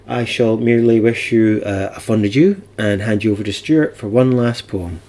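A man's voice: on the low side, moderate pace, mellow. A man hosting a podcast, talking informally in a wry, playful and chatty way. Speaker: podcast host.

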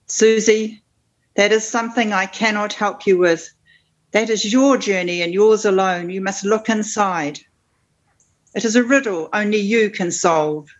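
A woman's voice: mid-range voice, unhurried, lo-fi sound, lightly acted. A woman voicing a cryptic, solemn witch professor in an improvised comedy sketch, portentous and full of riddles.

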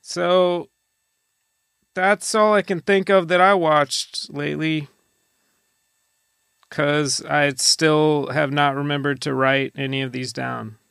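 A man co-hosting a podcast, chatting casually about movies in a hesitant, deadpan way. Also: a little high, takes it slowly, vocal fry, pauses often, clear, resonant tone, dry recording, studio quality. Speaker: podcast co-host.

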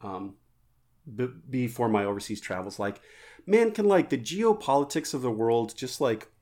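Male podcast co-host, rambling and jokey, average pitch, easygoing storyteller. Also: sing-song, moderate pace, darkish tone. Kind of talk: casual podcast banter.

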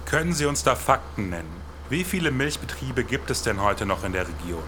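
A man's voice: mid-range voice, expressive, fairly quick, noisy background, natural voice. A man playing a cynical, impatient investigator in an audio drama, with a brusque, sarcastic manner. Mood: probing. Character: cynical, impatient male investigator named Stefan.

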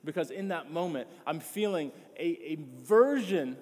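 A man delivers a sermon with rising conviction, stacking phrases and repeating words for emphasis. His voice sits a touch high for a man. A long reverb trails behind him in a large church hall. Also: expressive, a little slow, slight background noise. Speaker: pastor.

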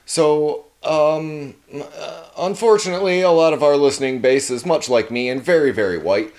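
A man's voice: on the high side, normal speed, darkish tone. A man hosting a casual podcast who rambles enthusiastically, swears freely and hesitates with fillers. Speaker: podcast host.

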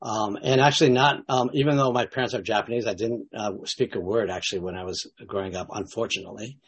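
A man in his late fifties, a company CEO, answering interview questions in a relaxed and hesitant way, with many restarts. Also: lowish voice, fast talker, breathy edge. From United States.

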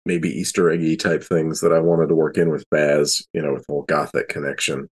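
A man talking casually as a podcast co-host and game master, in a halting, self-interrupting way. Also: a bass voice, flat delivery, husky. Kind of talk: casual panel chat.

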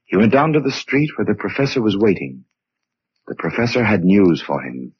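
A man's voice: on the low side, sing-song, moderate pace, breathy edge. A man with an American accent delivering scripted narration for a 1940s radio mystery. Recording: muffled 1940s radio recording.

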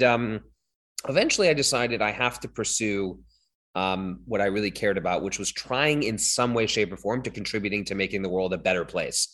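Low-pitched man in his thirties, interview guest, chatty, self-correcting storyteller. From born in Hong Kong, raised in London. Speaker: guest, company founder.

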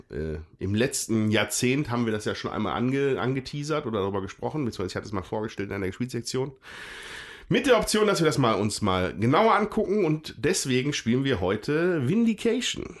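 A man's voice: medium pitch, sing-song, fairly quick. A man with a stuffy nose from a cold, co-hosting a casual chat about board games and rambling with frequent self-corrections. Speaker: podcast co-host.

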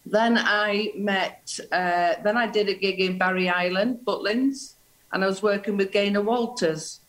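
A woman's voice: medium pitch, fairly even intonation, measured pace, rough recording. A middle-aged woman, a former dancer, chatting as a guest and reminiscing about her early career. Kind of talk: casual chat.

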